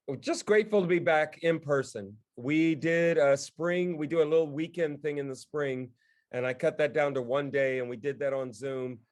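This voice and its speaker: A man's voice: on the high side, normal speed. A man co-hosting a podcast, telling anecdotes in casual conversation. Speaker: podcast co-host.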